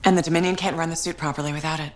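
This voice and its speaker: A woman's voice: low-pitched, fairly even intonation, racing, close to an everyday voice. An actress playing a capable female mercenary pilot in a video game, wry and dryly skeptical. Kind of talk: video game cutscene acting. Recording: faint background music.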